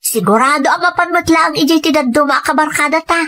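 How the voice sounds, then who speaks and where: A woman's voice: sits high, quick pace, pushed and bright, dry recording, clean studio sound. A woman playing an eager, persuasive young female student in an Ilocano audio drama.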